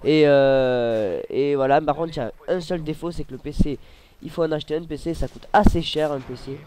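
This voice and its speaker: A man's voice: on the high side, fairly quick, warm tone, a little hiss or hum. A man with a cold, commentating casually over his gameplay at a desk mic, rambling and hesitant with many fillers. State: has a cold. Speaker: gaming YouTuber.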